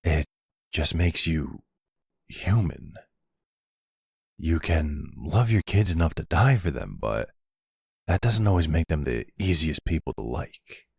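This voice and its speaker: A man playing a tender, charming middle-aged mafia boss boyfriend and father in an ASMR roleplay, speaking gently and reassuringly. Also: a bass voice, animated, slow, vocal fry, long pauses, breathy edge, dry recording, clean studio sound, full character voice.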